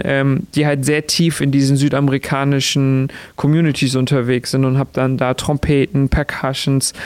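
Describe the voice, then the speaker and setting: Smooth-voiced man speaking in a flat tone. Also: medium pitch, no echo. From Ecuador. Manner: reflective, searching for words, many restarts. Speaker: musician guest. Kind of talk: radio interview.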